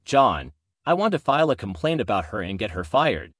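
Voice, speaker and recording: Male narrator as belligerent male complainer in story dialogue, indignant, lively intonation, dry recording. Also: lowish voice, brisk, no room sound. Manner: indignant, insistent.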